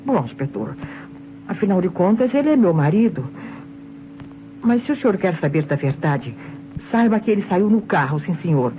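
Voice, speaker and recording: An actress playing an agitated, defensive wife under police suspicion, with a Brazilian accent, in an old radio detective drama. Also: female voice, fairly low pitch, sing-song, moderate pace, pauses often, warm tone. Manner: emphatic, protesting. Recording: dull old radio recording, faint noise.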